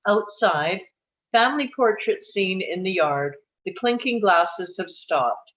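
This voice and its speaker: A woman's voice: medium pitch, animated, slow pace. Dull-sounding woman reading stage directions. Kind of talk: scripted narration at a table read.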